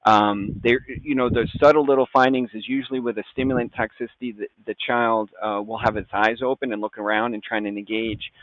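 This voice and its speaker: A man's voice: lowish voice, fairly even intonation, brisk, hardly any pauses, no room sound. Middle aged doctor guy explaining stuff in a folksy way, sounds like a dry phone line. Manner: folksy, informal phrasing amid technical jargon. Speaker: physician guest, toxicologist. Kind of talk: conversational medical explanation. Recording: phone-like, narrow-band audio.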